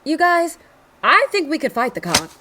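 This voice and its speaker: A woman's voice: high-pitched, big pitch swings, normal speed, noisy background. A woman playing a brash, combative young fighter in a sci-fi audio drama, speaking expressively. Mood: eager. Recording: music behind the voice.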